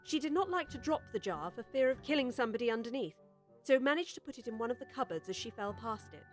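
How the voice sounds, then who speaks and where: Female audiobook narrator, fairly high voice, expressive storybook delivery, music underneath. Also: quick pace.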